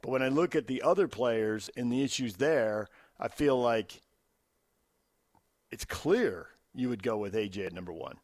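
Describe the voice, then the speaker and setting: A man's voice: medium pitch, lively intonation, moderate pace, darkish tone, dry recording. A man with an American accent hosting sports talk radio, giving opinions conversationally into a studio microphone. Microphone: close studio radio microphone.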